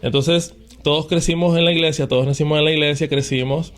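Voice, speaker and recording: A man hosts a faith interview in a slightly higher-than-average voice. He talks in a chatty, easy way and drops in little affirmations while his guest speaks. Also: fairly even intonation, fairly quick. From Nicaragua. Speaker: host.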